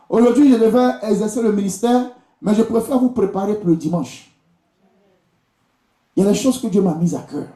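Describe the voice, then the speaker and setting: Ivorian guy preaching in church, pretty high voice, soft mellow tone, echoey, keeps repeating stuff at the congregation. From Côte d'Ivoire. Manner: exhortative, repetitive, addressing the congregation directly. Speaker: visiting preacher and prophet. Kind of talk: charismatic church sermon. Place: indoor church during a live service. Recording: echoey church hall sound.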